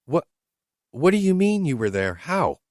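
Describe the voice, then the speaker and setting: A man's voice: a little high, sing-song, unhurried, a little mellow, no echo, studio quality, voice pushed up. A man voicing a blunt, direct young woman in her late twenties, speaking in a raised voice for her. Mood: questioning. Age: late 20s. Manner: blunt, straight to the point.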